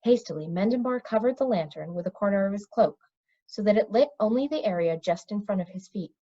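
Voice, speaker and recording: A woman reading a children's fantasy novel aloud as an expressive storybook narrator. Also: medium pitch, a little fast. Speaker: storybook reader. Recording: dull, lo-fi recording.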